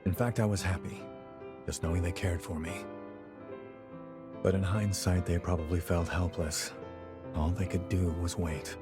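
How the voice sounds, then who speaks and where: A man acting a deep-voiced, world-weary game warrior. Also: fairly even intonation, moderate pace, long pauses, music in the background, lightly acted. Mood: reflective, resolute. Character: world-weary, loyal male revenant warrior searching for lost friend.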